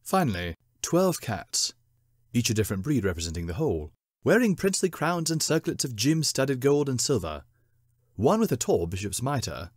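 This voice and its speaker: Male narrator for spooky storybook fiction: lively intonation, deliberate theatrical phrasing, dry recording. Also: on the low side, dry recording. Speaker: narrator, short story reader. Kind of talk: dramatic scripted storybook narration.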